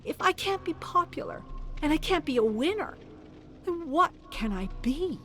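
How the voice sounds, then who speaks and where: A woman's voice: high-pitched, animated, normal speed, music in the background, pitched above the performer's own voice. A woman voicing the restless, impatient inner thoughts of a competitive young boy in a dramatized story. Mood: distressed. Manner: impatient, fretful.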